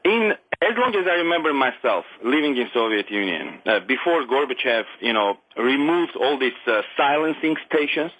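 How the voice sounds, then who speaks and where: A middle-aged man from Armenia whose first language is Armenian, speaking English with an Armenian accent. He calls in to a radio show and talks earnestly, haltingly, with many restarts and non-native grammar. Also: mid-range voice, big pitch swings, a bit bright, lo-fi sound. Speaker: caller. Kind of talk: call-in radio conversation.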